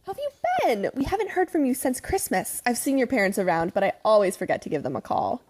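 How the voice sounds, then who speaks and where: A woman's voice: a little high, big pitch swings, fast talker, a little mellow. A woman playing a prim, doting middle-aged mother in an audio drama, polite and sweetly probing.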